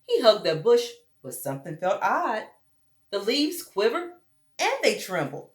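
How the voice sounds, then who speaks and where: A woman's voice: medium pitch, sing-song, a little slow. A woman reading a story aloud to young children, expressively and with dramatic emphasis, addressing them as friends. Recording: roomy echo.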